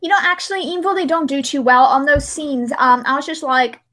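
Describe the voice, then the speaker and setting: A woman hosting a casual livestream, chatting in an expressive, exclamatory and easily distracted way. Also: high-pitched, brisk. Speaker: livestream host editing videos.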